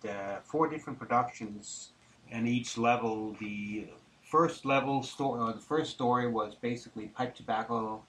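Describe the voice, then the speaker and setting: A middle-aged man from Denmark, talking conversationally and haltingly as an interview guest. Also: on the low side, sharp tone, poor audio. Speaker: interview guest, tobacco businessman. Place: studio, live interview. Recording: clear background noise.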